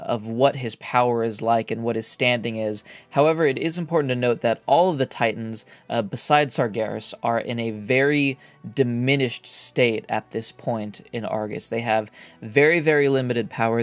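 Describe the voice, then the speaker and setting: A man hosting a gaming video, giving informal lore commentary in a hesitant, explanatory way, as if thinking aloud. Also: mid-range voice. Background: background music.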